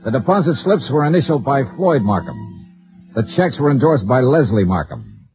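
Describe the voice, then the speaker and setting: A dull vintage radio recording with music carries a hard-boiled American male investigator. He is clipped and matter-of-fact, with a mid-pitched voice that moves in lively swings. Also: fairly quick. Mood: dry, matter-of-fact. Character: shrewd, dogged male insurance investigator narrating his case. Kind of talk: 1950s American radio detective drama acting.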